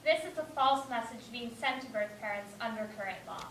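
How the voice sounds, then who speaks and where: A woman's voice: fairly high pitch, brisk, fairly bright tone. A woman delivering scripted, informational narration on stage. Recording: echoey room, distant mic, faint noise.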